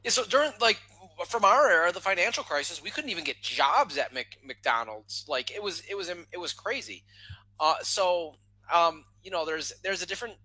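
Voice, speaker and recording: A man's voice: high-pitched, moderate pace, rough recording. A man in his thirties on a video-call podcast panel, talking casually with many restarts and repeated words. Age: 30s. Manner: rambling with many restarts, animated. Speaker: podcast guest.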